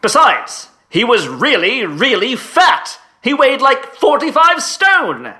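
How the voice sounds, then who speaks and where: An actor playing a pompous, sputtering Victorian headmaster in a comedic audio drama. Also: male voice, very high voice, sing-song, slow, bright, forceful tone, heavily acted. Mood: pompous, dismissive. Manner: pompous, sputtering, old-fashioned exclamations. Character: pompous, blustering 1850s English boarding school headmaster.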